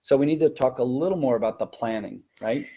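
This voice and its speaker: Man, mid-pitched voice, teacher trainer, conversational presenting, animated demonstrations. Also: fast, slightly rough, muffled recording.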